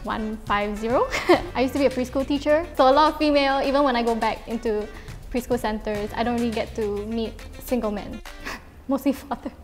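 A woman's voice: on the high side, steady tone, a little fast. A woman of twenty-two chatting in a bubbly, teasing way with frequent laughs, on a lapel mic. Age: 20s. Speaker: blind date contestant. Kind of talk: casual chat. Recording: faint music and long reverb.

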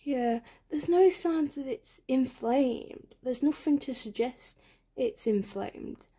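A woman in her twenties speaking softly in an ASMR medical roleplay as an ear doctor, hesitant and reassuring. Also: high voice, animated, slow, husky. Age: 20s. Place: small bedroom, indoors.

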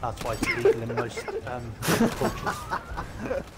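A man's voice: on the high side, huge pitch swings, slow pace, very noisy. A man leading a game raid over voice chat, joking and swearing casually. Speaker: raid leader.

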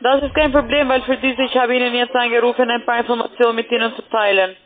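A woman's voice: on the high side, steady tone, quick pace. A woman, a non-native speaker, making a call-centre sales call over a thin, tinny phone line. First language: not German.

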